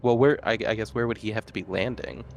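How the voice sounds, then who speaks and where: Male tabletop player, somewhat low voice, casual table chat, working out plans aloud. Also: quick pace, darkish tone, slight background noise.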